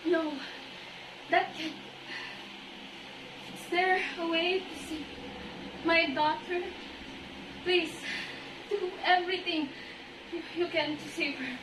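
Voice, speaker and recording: A woman's voice: extremely high pitch, steady tone, takes it slowly, pauses often. A woman with a Filipino accent playing a distraught, pleading mother in an amateur student play. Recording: echoey, noisy, distant microphone.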